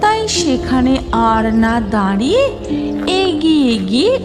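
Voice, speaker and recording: High, slow, singsong woman storyteller. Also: big pitch swings. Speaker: narrator. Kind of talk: scripted cartoon storytelling. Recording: music under the voice.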